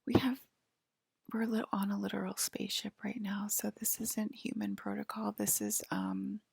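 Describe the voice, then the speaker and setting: A woman playing a female alien abductor in an ASMR roleplay, whispering in a breathy, halting, gently official clinical manner. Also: medium pitch, little pitch movement, no room sound, studio quality. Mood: calm, curious.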